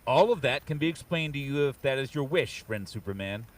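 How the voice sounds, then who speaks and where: Noble barbarian hero played by a man, courteous, stately, animated pitch, off-mic, comic table read. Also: a little fast, lightly acted. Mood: reassuring, stately. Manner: formal, stately. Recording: distant from the microphone.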